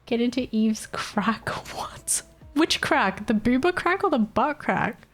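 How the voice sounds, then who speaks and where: Young woman with a Filipino accent, casual streamer who teases chat and laughs a lot, animated voice over faint background music. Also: on the high side, slow pace. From Philippines. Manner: laughs often, teasing and playful with chat.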